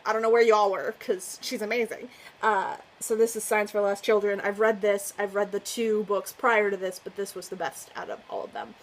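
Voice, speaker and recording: A woman's voice: medium pitch, normal speed, poor audio. A woman book vlogger chatting casually to her viewers, gushing and effusive and laughing often. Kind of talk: casual chat to camera.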